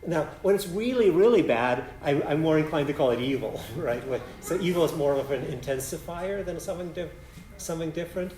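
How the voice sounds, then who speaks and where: A philosophy professor whose first language is Polish fields audience questions in a lecture hall. His voice sits a little high but sounds mellow, and he thinks aloud, restarting phrases and tagging points with "right?". The sound is roomy with echo. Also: a little hiss or hum.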